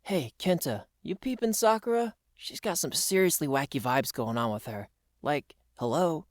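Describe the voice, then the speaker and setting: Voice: mid-range voice, expressive, normal speed, clean tone, dry recording, clean studio sound, close to an everyday voice. An actor playing a friendly, slangy cartoon dude with a breezy, exuberant delivery. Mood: breezy, suspicious. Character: slangy, hyped-up American dude stereotype, friendly and patriotic. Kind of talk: scripted character dialogue.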